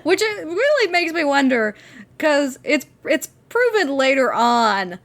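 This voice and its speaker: A woman's voice: high voice, big pitch swings, measured pace, fairly bright tone. A woman co-hosting a podcast, chatting casually and enthusiastically as a giggly fan recapping a TV episode. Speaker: podcast co-host.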